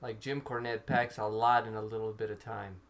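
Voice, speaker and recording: A man's voice: lowish voice, flat delivery, normal speed, a bit bright. A man, co-hosting a casual chat on a video call with a headset and often restarting his sentences. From Serbia. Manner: talkative, stops and restarts often. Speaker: co-host. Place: small room indoors. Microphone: over-ear headset on a video call. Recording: noisy video call audio.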